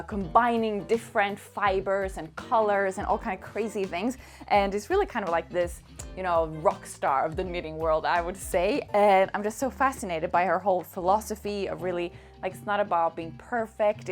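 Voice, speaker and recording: A young woman whose first language is Swedish, chatting casually and eagerly about knitting books with many fillers and little laughs. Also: mid-range voice. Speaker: knitting vlogger. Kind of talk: casual chat.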